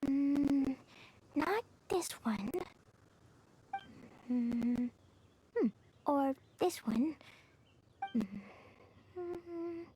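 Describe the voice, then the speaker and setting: A woman's voice: high voice, extremely slow, pauses often, dark tone, a little hiss or hum, poor audio. A woman voicing an innocent, naive little girl in an anime-style video game, with simple childlike phrasing. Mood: eager, searching. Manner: childlike, simple wording, drawn out. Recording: background music under the voice.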